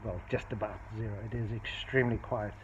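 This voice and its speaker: A man narrating a casual hands-on repair demonstration as a hobbyist, explaining things in a matter-of-fact, unhurried way. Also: on the low side, fairly even intonation. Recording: dull lo-fi phone-video sound, faint noise.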